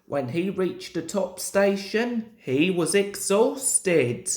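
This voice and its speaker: A man's voice: high voice, sing-song, slow, a little mellow. A young man reading a children's story aloud as a narrator. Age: young adult. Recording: roomy, echoing home recording.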